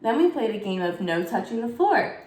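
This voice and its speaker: A woman's voice: on the high side, moderate pace. Woman reading aloud in an echoey room. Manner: expressive, asks young listeners questions. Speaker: teacher. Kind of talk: children's bedtime read-aloud.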